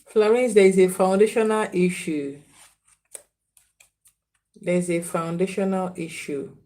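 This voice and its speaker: A woman's voice: on the low side, fairly even intonation, measured pace, mellow. A woman giving spiritual readings on a live stream, repeating names and phrases and earnestly scolding viewers. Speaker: spiritual reader.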